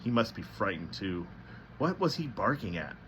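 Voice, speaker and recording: A man reading a novel aloud as narrator, with a slightly halting delivery that stumbles now and then. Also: lowish voice, sing-song, a bit bright, a little hiss or hum, poor audio. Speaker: storybook reader.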